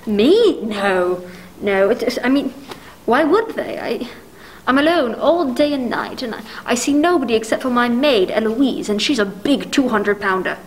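A woman's voice: fairly high pitch, expressive, moderate pace, lightly acted, low-quality recording. A young woman acting a nervous, demanding bedridden wife who stammers fretfully, in a 1940s-style radio thriller play. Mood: frightened. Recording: noticeable background noise.

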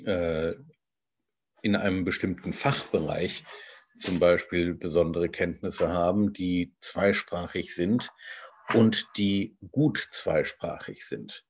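A bilingual man, a translator, giving an online lecture over slides in a measured, explanatory way, with frequent hesitations. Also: low-pitched, steady tone, husky. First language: bilingual German and English.

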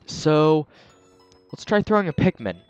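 A man hosting a let's play, chatting casually and explaining as he plays, his voice a little raspy and scratchy from a sore throat. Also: on the high side, big pitch swings, a little slow, a little mellow, dry recording, muffled recording, faint music behind. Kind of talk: casual gameplay commentary.

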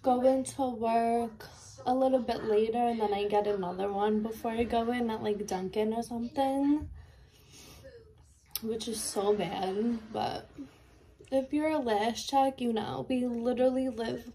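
A young woman talks in a slow, unhurried way, her voice a touch high and mellow. She sounds congested and sniffly, chatting candidly through a get-ready-with-me routine in her bedroom. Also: fairly even intonation. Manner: chatty, candid, filler-heavy. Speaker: lash tech and beauty vlogger. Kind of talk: casual get-ready-with-me chat. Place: bedroom, indoors. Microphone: camera in front, close.

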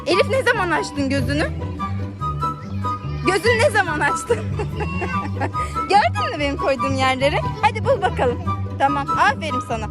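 A woman with an extremely high, animated voice speaks playfully to a small child as a mother would. She coaxes with short, repeated questions and prompts. Music plays behind her. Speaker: mother hosting a family play video. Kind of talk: playful casual chat directed at a young child. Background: background music.

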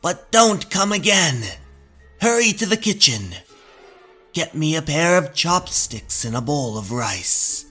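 Guy doing an old lady healer in a horror tale, loud n alarmed, high voice, drags words out, music under it. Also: big pitch swings, full character voice. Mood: frantic, commanding. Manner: alarmed, ominous, drawn-out delivery. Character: dramatic old woman healer sensing sinister spirits.

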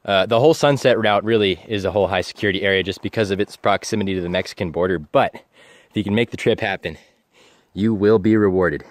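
A man's voice: lowish voice, fast talker, dry recording. A young man talking casually to viewers while hiking a desert canyon outdoors, filming himself with a camera held at arm's length. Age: young adult. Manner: relaxed, informal, explaining to viewers while hiking. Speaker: travel vlogger.

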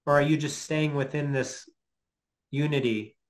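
Man in his fifties, lecturer, tentative and halting, thinking aloud, informal explanation, video call from a home office. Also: a little high, steady tone. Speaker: guest lecturer. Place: small home office, indoors. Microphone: computer mic on a video call.

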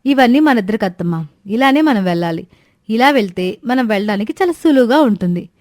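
A woman's voice: a little high, expressive, a little fast, a little mellow, no room sound, studio quality, natural voice. A woman voicing an eager, naive young daughter-in-law in a cartoon moral story, confident and respectful as she explains. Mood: eager explaining. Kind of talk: animated Telugu moral story dialogue.

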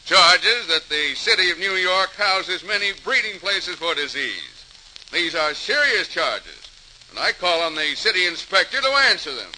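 A man acting in a 1940s radio drama as a formal, measured official. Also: high voice, very animated, pushed and bright, exaggerated voice, poor audio. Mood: grave, official. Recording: old radio recording with faint noise.

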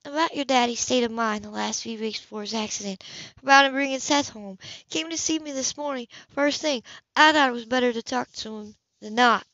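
A woman's voice: a little high, fairly quick, fairly bright tone, no echo, highs cut off, voice pushed up. A woman voicing a worried, caring young woman in an audiobook reading. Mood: earnest.

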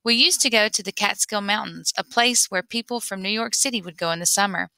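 A woman reading a memoir aloud in an expressive storytelling way. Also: mid-range voice, brisk, creaky, dry recording, low-quality recording. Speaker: reader.

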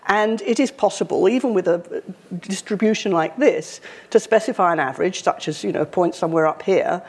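A woman, giving a university public lecture in a conversational, wry way, her voice slightly croaky. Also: lowish voice, a little fast, a little mellow. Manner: conversational, wry, with frequent fillers. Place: university lecture hall, indoors.

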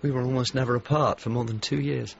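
An actor playing an anxious, grieving painter in a radio drama, speaking in a hesitant, subdued, searching way. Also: male voice, medium pitch, steady tone, quick pace, vocal fry, slight background noise, natural voice. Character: anxious, grieving male painter seeking his lost old friend. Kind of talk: naturalistic radio drama dialogue. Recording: slightly dull, faint background ambience.

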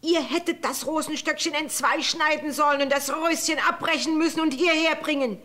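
A woman's voice: high voice, steady tone, moderate pace, sharp tone. An actress playing a spiteful, bossy old cook who is a witch, scolding and commanding in a fairy-tale audio play. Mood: angry scolding. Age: older.

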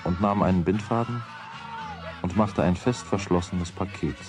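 A middle-aged man narrating a children's radio play as a calm storyteller. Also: low voice, normal speed, music in the background, natural voice. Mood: calm narration.

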